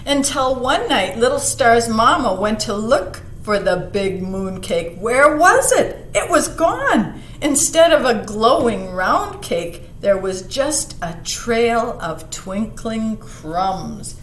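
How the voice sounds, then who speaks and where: A woman reading a picture book aloud to children, expressive, putting questions to her young listeners and dropping into hushed asides. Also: a little high, slow, few pauses. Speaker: children's library storyteller. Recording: roomy echo, some background noise.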